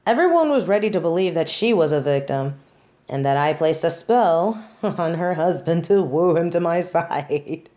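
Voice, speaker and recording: Woman acting a haughty, low-voiced snobby tsundere. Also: expressive, warm tone, lightly acted. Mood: wry, smug. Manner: haughty, wry, deliberate dramatic storytelling. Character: snobby tsundere woman, judge bonded to a demon. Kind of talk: scripted audio roleplay, intimate monologue to listener.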